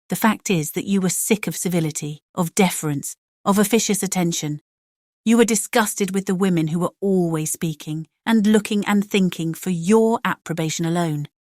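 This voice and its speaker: Woman playing a spirited, low-toned young lady. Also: darkish tone, no echo, clean studio sound, lightly acted. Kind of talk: dramatized classic novel audiobook.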